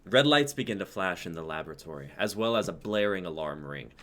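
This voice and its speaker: Deep-voiced young man narrating. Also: expressive, a little fast, fairly bright tone. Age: young adult. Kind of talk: scripted dramatic narration.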